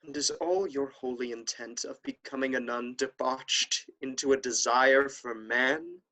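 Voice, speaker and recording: A man plays a stern young lady's brother, a proud nobleman in a period stage comedy. He declaims haughtily at a middle pitch, guarding the family honor with indignation. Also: unhurried, pushed and bright. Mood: indignant. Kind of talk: classical stage comedy acting.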